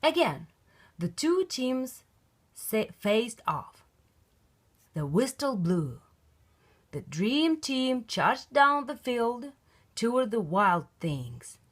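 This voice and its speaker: A woman reading a children's picture book aloud in an expressive, emphatic storytelling style. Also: on the high side, drawn-out words, long pauses.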